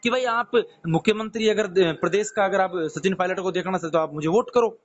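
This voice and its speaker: Male caller, Rajasthani accent, high voice, pushy and repetitive, over a very noisy phone line. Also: fairly quick. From Rajasthan, India. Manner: insistent, interrupting, repeats himself. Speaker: caller, community activist. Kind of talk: persistent questioning on a phone call. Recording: muffled, noisy phone call recording.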